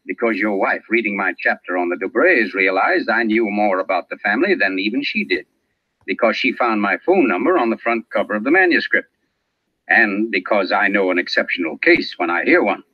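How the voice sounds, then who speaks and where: An actor playing an urbane, pompous older mystery writer turned sleuth in an old radio drama, speaking theatrically and deliberately. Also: male voice, on the low side, animated, slightly rough, no echo. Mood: amused, evasive. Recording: muffled vintage radio recording.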